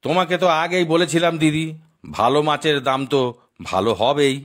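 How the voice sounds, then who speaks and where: A man's voice: on the high side, brisk, fairly bright tone, natural voice. A man voicing a persuasive, persistent fish seller in a children's cartoon, full of market patter.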